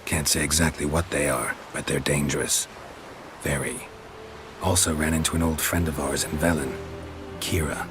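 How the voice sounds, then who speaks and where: An actor playing a gruff, weary monster hunter in a video game, terse, deadpan and gravelly, with clipped delivery. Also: male voice, rumbling low, normal speed. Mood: grave, matter-of-fact. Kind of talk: video game cutscene acting. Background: music.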